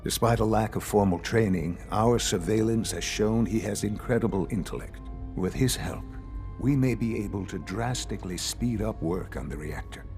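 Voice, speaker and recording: A man acts out an elderly leader of a scientific enclave in a video game. His low voice is calm and authoritative, with formal, measured phrasing over music. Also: close to an everyday voice. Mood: grave, insistent. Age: older.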